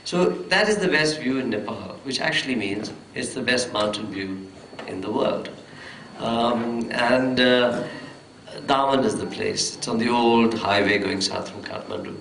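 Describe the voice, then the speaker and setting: A man's voice: medium pitch, expressive, a little slow, gravelly, a bit bright. Man on a festival panel, lots of ums and restarts, sounds far off in an echoey hall with a little hiss. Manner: rambling, full of hesitations and restarts. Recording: roomy distant hall mic with faint noise.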